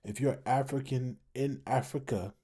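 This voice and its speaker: A man's voice: mid-range voice, fairly even intonation, moderate pace, warm tone, husky. A tired American man giving casual, opinionated commentary as a host, emphatic and repeating phrases for emphasis. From United States, family from Texas. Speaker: host commenting on an online discussion.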